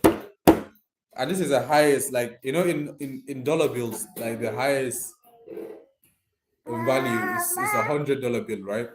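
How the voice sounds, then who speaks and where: A man's voice: mid-range voice, a little slow, pauses often. Nigerian man chatting in an echoey room. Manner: informal, slangy, addressing viewers directly. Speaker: live streamer. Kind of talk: casual live chat with viewers.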